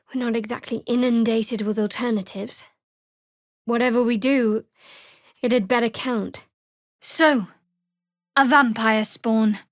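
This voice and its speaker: Video game role: guarded young female cleric of a dark goddess, wry and measured, highish voice, dry close sound. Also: no room sound. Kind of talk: video game character voice lines.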